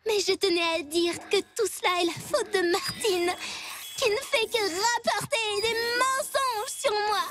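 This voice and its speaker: A woman's voice: very high voice, measured pace. A woman voicing a spiteful, two-faced spoiled little girl in a French cartoon dub, snide and affected. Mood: falsely sincere.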